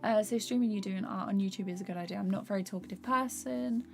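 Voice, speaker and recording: Young female streamer, slightly hoarse, soft rambling chat over faint music. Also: mid-range voice, quick pace. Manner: rambling, filler-heavy, soft-spoken. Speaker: animator streaming while drawing. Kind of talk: casual live-stream chat while working. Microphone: desktop USB microphone. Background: faint background music.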